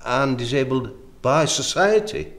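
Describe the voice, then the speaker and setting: Mid-pitched male interviewer. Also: expressive, a little slow. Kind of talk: interview questions.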